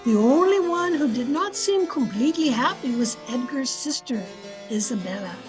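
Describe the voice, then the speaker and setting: Woman as devoted servant narrator, high voice, measured storytelling, radio drama, faint music behind. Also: expressive. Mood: reflective. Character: Ellen, devoted old female servant telling a story.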